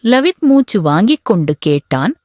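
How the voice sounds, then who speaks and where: A woman narrating a children's bedtime story in a gentle, expressive storytelling manner. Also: mid-range voice, normal speed, dry recording, studio quality.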